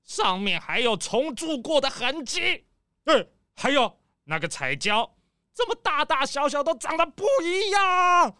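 A woman's voice: sits high, very animated, measured pace, pushed and bright, no echo, studio quality, heavily acted. A woman playing a spoiled, imperious male crocodile farm owner in a children's audio drama, whiny, drawing out words and dropping in English exclamations. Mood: exasperated, shouting.

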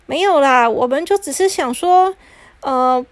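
A woman's voice: sits high, lively intonation, unhurried, no echo. A woman voicing a cheerful young Cinderella who talks in a sweet, reassuring way.

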